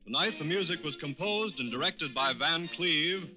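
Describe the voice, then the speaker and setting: A man with an American accent reading a sponsor's announcement in the formal, measured, declamatory style of 1940s radio. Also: fairly high pitch, animated, faint music behind. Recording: muffled 1940s radio recording.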